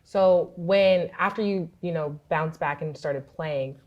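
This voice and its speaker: A woman hosts from a studio desk and asks her questions carefully. Her voice is very low for a woman, and the recording sounds a little dull. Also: slow pace. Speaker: hostess. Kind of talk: talk show interviewing. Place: studio set.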